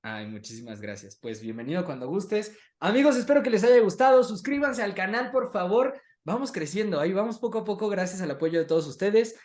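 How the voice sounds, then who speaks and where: A Mexican man with a somewhat high-pitched voice hosts a casual online interview. He sounds conversational, turning from his guest to address viewers directly as friends. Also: animated, a little fast, few pauses, darkish tone. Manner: addresses audience directly, calls them friends. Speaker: host, interviewer.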